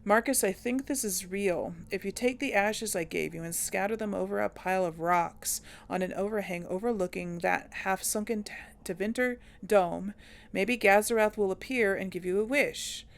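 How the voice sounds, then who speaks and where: A woman's voice: mid-range voice, moderate pace, gravelly. A woman hosting a casual let's play, chatting to viewers as she plays and reading game text aloud. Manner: chatty, talks to viewers while playing. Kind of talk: casual gameplay commentary.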